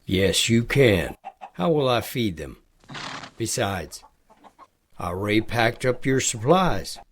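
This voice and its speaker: A man playing a kindly, burly older farmer in an audio drama, gently insistent in manner. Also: lowish voice, very animated, slow pace, breathy edge, exaggerated voice. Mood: kindly, firm. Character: kindly burly farmer, father of grown son.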